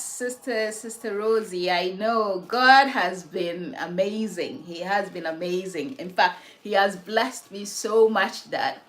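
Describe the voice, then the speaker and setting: A woman from Ghana hosting a casual livestream from her home, talking reflectively about faith and answering viewers' comments. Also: lowish voice. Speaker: livestream host.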